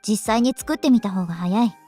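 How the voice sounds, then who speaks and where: A woman's voice: medium pitch, very fast, dark tone, soft background music, lightly acted. A woman voicing an earnest, absent-minded senior student in a Japanese visual novel, with a blunt, matter-of-fact manner.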